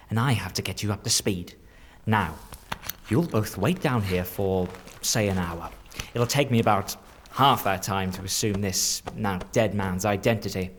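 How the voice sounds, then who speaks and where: Sly, low-voiced theatrical changeling played by a man. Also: noisy background, close to an everyday voice. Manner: teasing, cryptic, lingering over words. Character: sly, theatrical shapeshifting changeling in a stolen man's body. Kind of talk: dark fantasy audio drama acting.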